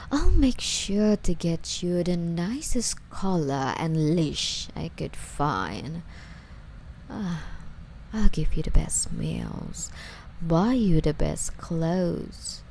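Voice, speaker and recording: A woman playing an obsessive, sweetly menacing female stalker in an ASMR roleplay, coy and breathy, often slipping toward a whisper as she coddles her captive. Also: low voice, mellow, a little hiss or hum, full character voice.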